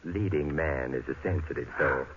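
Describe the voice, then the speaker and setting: Suave, wry radio sleuth, husky voice. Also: male voice, a bass voice, normal speed. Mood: wry, probing. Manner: dry, teasing, urbane. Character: suave, wry, unflappable amateur sleuth, adult man. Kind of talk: 1950s radio drama acting. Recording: muffled vintage radio broadcast recording.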